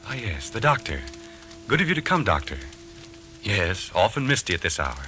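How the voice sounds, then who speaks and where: An actor playing an obsessed, volatile husband in an old-time radio mystery, with intense, theatrical delivery. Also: male voice, on the low side, sing-song, moderate pace, pushed and bright, faint music behind. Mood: formal, dreamy. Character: obsessed, volatile husband dreaming of fighting a duel. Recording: old radio recording, faint noise.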